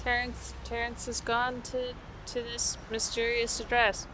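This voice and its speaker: A woman's voice: fairly high pitch, completely flat delivery, measured pace. Dry, informal woman at a game table. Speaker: player in a tabletop roleplaying game. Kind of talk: casual table talk and character narration. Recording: faint outdoor urban background noise.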